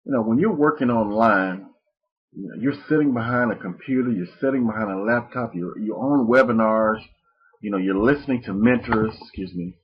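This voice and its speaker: A man's voice: medium pitch, moderate pace, slightly rough. A man from Mississippi, presenting a live webinar in a rambling, folksy way with restarts. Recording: muffled lo-fi webinar audio.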